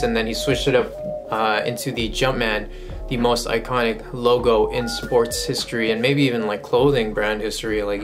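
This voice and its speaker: A man in his twenties giving a casual, slangy product review to camera in a laid-back way. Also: medium pitch. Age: 20s. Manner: laid-back, slangy, addresses viewers as guys. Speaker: sneaker review host. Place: small furnished room at home, indoors. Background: background music with guitar.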